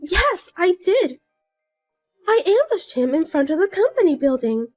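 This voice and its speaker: A woman's voice: very high voice, sing-song, a little slow, soft background music, voice pushed up, full character voice. A woman voicing a scheming younger sister who taunts and boasts in a sing-song way. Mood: smug.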